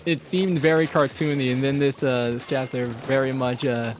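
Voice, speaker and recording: A man's voice: medium pitch, fairly even intonation, moderate pace, slight background noise. A man bantering casually with friends in a joking, slangy way. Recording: music playing behind the voice. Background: music.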